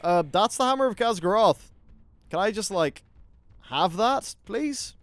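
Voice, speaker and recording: A man's voice: high-pitched, expressive, measured pace, dry recording. A man hosting a gaming video, giving casual, informal gameplay commentary with some swearing.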